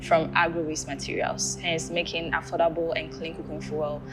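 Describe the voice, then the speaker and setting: Woman founder, deep, monotone, music bed. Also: normal speed, poor audio. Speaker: company founder. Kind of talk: introduction pitch.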